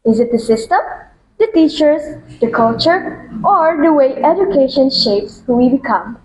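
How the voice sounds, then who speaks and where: A woman with a fairly high voice co-hosts a podcast in an echoey room. She asks questions in an earnest, inquisitive way. The echo of the room is clear behind her voice. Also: lively intonation, normal speed, a little mellow, slight background noise. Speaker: podcast co-host. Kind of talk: student podcast hosting.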